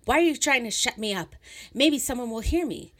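A drunk, unstable young woman, put on by a woman reading a story, voice pitched up and pushed loud. She hurls sarcasm and breaks into shrill rants. Her tone stays bitter and wild. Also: unhurried. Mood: furious.

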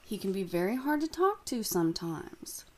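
An actress playing a secretive young woman from a cursed family, guarded and hushed. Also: medium pitch, very animated, normal speed, lightly acted. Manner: hushed, guarded, then pleading. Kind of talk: audio drama acting.

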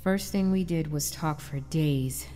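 A woman's voice: deep voice, a little slow, mellow, soft background music. A woman playing an anguished but determined wife and mother in an audio drama, narrating in a confiding, reflective way. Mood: wistful. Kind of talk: first-person dramatic narration.

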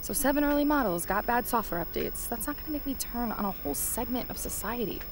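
A woman's voice: a little high, animated, fast, over music. An actress in an audio drama playing a young female newspaper reporter who talks in an earnest, candid, conversational way. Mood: earnest, somber.

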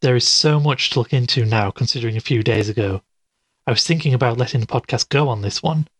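An actor playing an earnest, curious podcaster investigating a mystery in an audio drama, with a husky voice. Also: male voice, mid-range voice, fast talker, a bit bright, no room sound. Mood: earnest narrating. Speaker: podcast host. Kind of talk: audio drama dialogue and narration.

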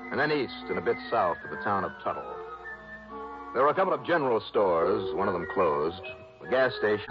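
An actor playing a shrewd, sardonic insurance investigator in an early 1960s detective radio drama, with brisk delivery. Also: male voice, low voice. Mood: brisk narrating. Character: shrewd, wry male freelance insurance investigator. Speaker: lead actor and narrator. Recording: noisy, muffled vintage radio recording.

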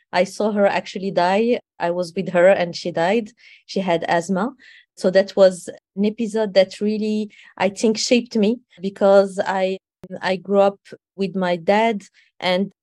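A woman in her forties from Morocco, a guest telling her life story in a reflective, unhurried way. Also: mid-range voice, dark tone, no room sound. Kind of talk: conversational storytelling.

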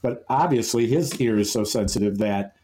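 A man chatting as a podcast guest, rambling and playful, halting and restarting as he tells stories. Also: fairly low pitch, warm tone. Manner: playful, slips into silly put-on voices. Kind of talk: casual chat.

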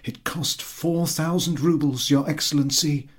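A man's voice: on the high side, fairly even intonation, unhurried, pitched above the performer's own voice. A man voicing an eager, boastful landowner who fawns effusively on his superior in a story reading. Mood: boastful, delighted. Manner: obsequious, effusive, over-polite.

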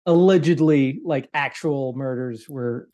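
A man's voice: medium pitch, a little slow, mellow. A middle-aged man on a podcast panel, reasoning aloud casually with a jokey bent for wordplay. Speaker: podcast panelist, quiz contestant.

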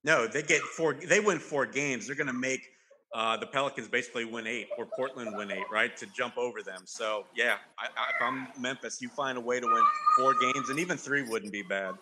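A man's voice: mid-range voice, a little fast, heavy background noise. A man hosting a casual sports podcast, conversational and putting questions to the others. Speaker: podcast host.

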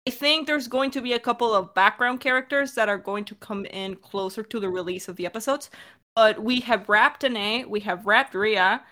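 A woman's voice: on the high side, normal speed, bright, forceful tone. A woman speaking informally and animatedly as a podcast director in a casual Q&A, repeating words for emphasis. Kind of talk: casual creator Q&A chat.

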